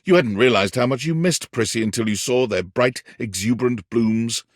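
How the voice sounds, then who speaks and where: A man playing a whimsical, wry yet tender storybook narrator in a video game, with theatrical, deliberate phrasing. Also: medium pitch, no echo, clean studio sound, natural voice. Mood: tender, wistful. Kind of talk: scripted second-person storybook narration.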